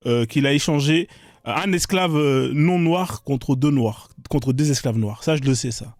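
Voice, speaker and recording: A man moderating a live stream in a relaxed, hesitant way with lots of fillers. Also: mid-range voice, normal speed, no room sound, clean studio sound. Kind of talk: casual moderating.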